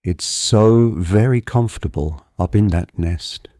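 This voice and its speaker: A man guides a relaxation exercise in a low, warm voice. His delivery is very slow, calm and deliberate, with a gentle hypnotic flow. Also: dry recording, clean studio sound. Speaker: guided visualisation narrator. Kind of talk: guided meditation, soothing hypnotic narration.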